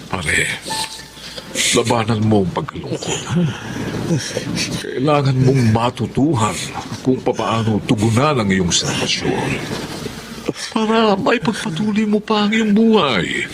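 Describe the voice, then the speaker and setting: A man's voice: medium pitch, very animated, measured pace, pauses often, very noisy. An actor playing a concerned older man in a radio drama, earnest and emotive toward his friend.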